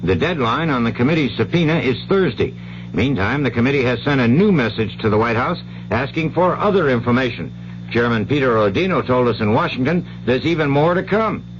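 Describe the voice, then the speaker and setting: A man's voice: mid-range voice, expressive, brisk, muffled recording, background noise. An American man reading a scripted radio newscast as anchor on an old 1970s broadcast. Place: radio studio.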